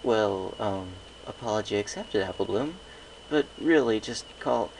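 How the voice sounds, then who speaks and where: A man voicing a bewildered, awkward grown stallion pony who speaks haltingly in a read-aloud story. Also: lowish voice, lively intonation, creaky, a little mellow, slight background noise, low-quality recording. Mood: flustered, awkward. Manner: halting, stammering.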